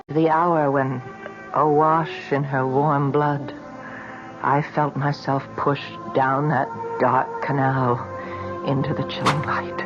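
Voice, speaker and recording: Slow, brooding, haunted son. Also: male voice, a little high. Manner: brooding, deliberate, literary. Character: haunted grown son confessing a killing. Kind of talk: 1970s radio drama monologue. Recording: dull lo-fi radio recording, music behind.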